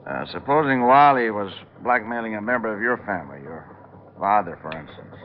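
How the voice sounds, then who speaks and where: An American actor playing a wry, watchful private detective who probes with casual small talk in vintage radio drama style. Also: male voice, fairly low pitch, animated, fairly quick, close to an everyday voice. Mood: tense, quiet. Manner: hesitant, probing small talk. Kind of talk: 1940s-50s American radio drama acting. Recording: muffled, noisy old radio recording.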